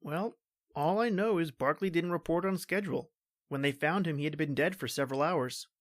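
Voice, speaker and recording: A woman's voice: low-pitched, fairly quick, dry recording. A woman playing a stoic, protective male police officer in an old-time radio horror drama, brusque and melodramatic. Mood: guarded, matter-of-fact.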